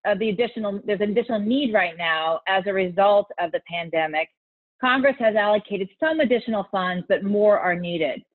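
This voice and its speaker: An American woman in her forties moderating an online event, explaining instructions to listeners. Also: medium pitch. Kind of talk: explanatory call to action. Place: bright room at home, on video call. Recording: thin, phone-like video call audio.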